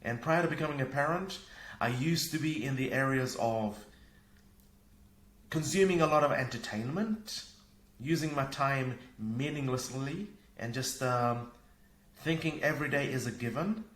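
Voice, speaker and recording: A man from Fiji, a parenting coach and podcast guest, talking in an energetic, upbeat way. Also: mid-range voice, moderate pace. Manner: energetic, upbeat, laughs easily. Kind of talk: casual conversation. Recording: echoey room.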